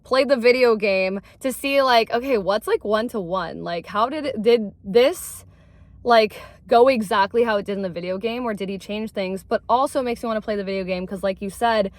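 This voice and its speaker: A woman's voice: mid-range voice, normal speed, no room sound. A young woman co-hosting a casual movie review chat, rambling and filling her sentences with "like". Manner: rambling, heavy use of "like", laughs easily.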